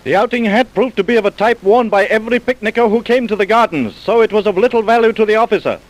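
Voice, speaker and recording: A man's voice: high voice, fast, poor audio. A man delivering scripted narration for a vintage radio crime drama. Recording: vintage radio broadcast recording.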